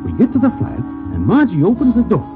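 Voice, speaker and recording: A wry, slangy New York sidekick in a 1940s radio comedy. His highish voice swings widely in pitch as he talks in formal street slang. The recording is tinny and narrow, with music behind. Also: wildly swooping pitch, brisk. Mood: wry storytelling.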